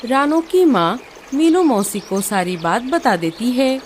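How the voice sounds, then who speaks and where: A woman's voice: a little high, lively intonation, slow pace, a little hiss or hum. A woman giving scripted narration for a cartoon story. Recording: music under the voice.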